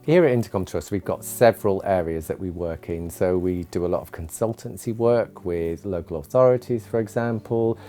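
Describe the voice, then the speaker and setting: A man's voice: deep voice, moderate pace, few pauses, dark tone, faint music behind. A man explaining his organisation's work as its training lead, in an interview style.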